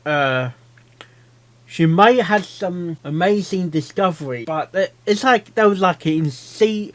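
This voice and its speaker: A man's voice: high-pitched, animated, a little slow. A man giving casual reaction commentary, rambling and often repeating himself as he thinks aloud. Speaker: reaction video host.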